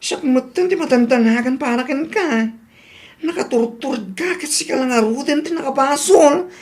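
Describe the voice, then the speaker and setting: A woman's voice: high voice, animated, normal speed. A woman with an Ilocano accent playing a scolding friend who urges humility in a radio drama. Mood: reproachful. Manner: admonishing.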